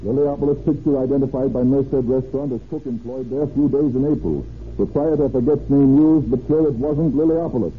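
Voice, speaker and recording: An actor playing a brisk, businesslike police investigator in a vintage radio drama, clipped and deliberate. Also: male voice, medium pitch, fairly even intonation, natural voice. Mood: businesslike, pondering. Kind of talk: 1940s radio acting. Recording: tinny, noisy old radio recording.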